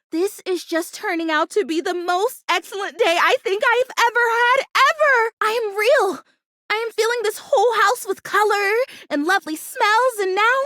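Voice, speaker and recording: Quirky female plastic plant game character, very high voice, gushing, perky, sincere, close dry sound. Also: fairly bright tone, no echo, exaggerated voice. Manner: bubbly, gushing, earnest, quirky. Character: kooky, perky, earnest female plastic houseplant come to life. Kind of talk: video game character voice acting.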